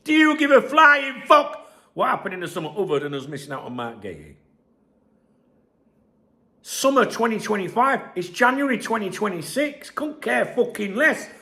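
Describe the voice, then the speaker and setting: Man, croaky chest infection voice, sarcastic, sweary, casual channel host. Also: high-pitched, very animated, normal speed, sharp tone. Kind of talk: casual commentary on a news article.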